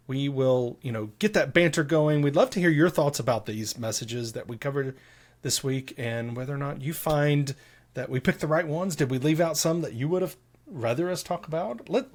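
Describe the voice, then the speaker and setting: A man hosting a casual tech podcast, upbeat and chatty, teasing his co-host. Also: medium pitch.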